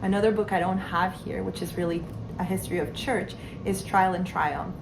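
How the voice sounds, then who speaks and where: Low-voiced woman over steady background noise. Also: fairly quick, gravelly. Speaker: homeschooling mother sharing curriculum picks. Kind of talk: casual talk to camera.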